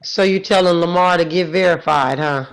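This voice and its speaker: A woman's voice: on the low side, normal speed, reverberant, poor audio. A woman hosting a live audio chat room, talking casually with many fillers and introducing guests. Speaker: host.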